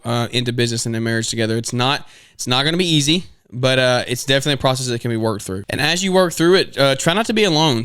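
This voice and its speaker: A man's voice: medium pitch, a bit bright, clean tone, no room sound, clean studio sound. A man in his twenties hosting a podcast, giving casual advice in an earnest, rambling way with many restarts and fillers. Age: 20s. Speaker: podcast host.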